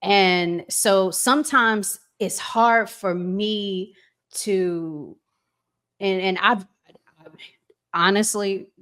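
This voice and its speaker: A woman with an American accent talking reflectively as a podcast co-host, deliberately and with pauses between phrases. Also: medium pitch, fairly bright tone, clean tone. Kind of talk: reflective conversational discussion.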